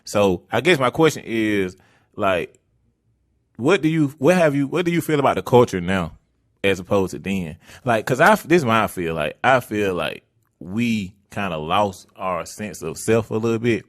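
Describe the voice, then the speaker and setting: A man's voice: fairly low pitch, animated, moderate pace, no room sound, clean studio sound. A man in his thirties with an Atlanta accent, hosting a podcast in loose, slangy, rambling chat. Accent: Atlanta Southern. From Atlanta area, USA. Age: 30s. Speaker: podcast host. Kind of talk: casual chat.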